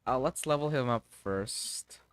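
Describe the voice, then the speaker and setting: Slow, halting male game streamer. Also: mid-range voice, a bit bright, clear, resonant tone. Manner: halting, muttering to himself, mild swearing. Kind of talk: casual live gaming commentary, thinking aloud.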